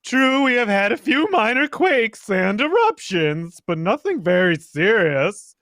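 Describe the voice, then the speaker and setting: A man's voice: high voice, sing-song, measured pace, fairly bright tone, dry recording, heavily acted. A man playing a pompous, declamatory council chairman in an old-time radio drama. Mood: placating, dismissive. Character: pompous council chairman calling for order, dismissive.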